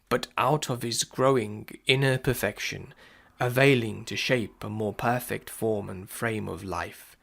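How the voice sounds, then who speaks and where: A man giving a solemn scripted reading of philosophical writing, measured and declamatory, leaning firmly on key words. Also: on the low side, gravelly, fairly bright tone. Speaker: reader.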